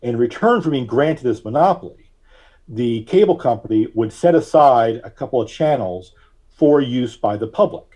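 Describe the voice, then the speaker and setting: A man speaking as a podcast guest, recalling things casually in a halting, deliberate way with frequent long hesitations. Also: medium pitch, expressive, warm tone. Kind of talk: casual interview recollection.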